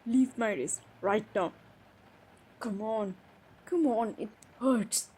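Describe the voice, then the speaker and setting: Woman acting a high-voiced, wounded young woman. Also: a little mellow. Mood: indignant. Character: hurt, defensive young woman confronting her stepbrother. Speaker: audio roleplay performer. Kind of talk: improvised romantic roleplay drama. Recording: noisy background ambience.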